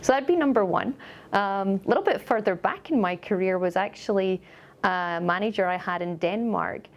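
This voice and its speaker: A woman's voice: medium pitch, moderate pace, vocal fry. A middle-aged woman with a Scottish accent, an upbeat and articulate company executive answering questions in a corporate interview.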